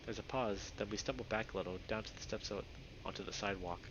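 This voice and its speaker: A man's voice: on the low side, quick pace. A man live-streaming a visual novel, reading it aloud and chatting casually with viewers. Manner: chatty, greets viewers by name. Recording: dull lo-fi microphone, faint noise.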